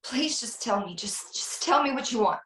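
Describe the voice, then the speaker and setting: A woman's voice: fairly high pitch, animated, fairly bright tone. Woman acting frightened slasher victim, echoey room. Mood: terrified, pleading. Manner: pleading, halting. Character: frightened woman, film star, threatened by a killer. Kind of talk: slasher film table read. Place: indoor room on a video call. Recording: roomy, echoing video call audio.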